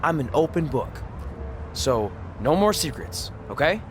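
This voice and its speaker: An actor playing an earnest, stubborn young aspiring wrestler in a video game, with a boyish, pleading manner. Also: male voice, fairly high pitch, big pitch swings, unhurried, a little hiss or hum. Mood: earnest, apologetic. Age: young adult.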